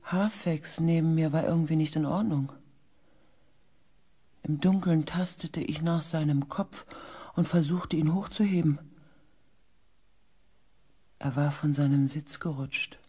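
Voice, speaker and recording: A woman playing an anxious, weary space expedition pilot, narrating a dramatic audio drama in a hushed, intense way. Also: deep voice, fairly even intonation, a little slow, pauses often, husky. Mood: hushed, uneasy. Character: anxious, weary expedition pilot recounting events, far future.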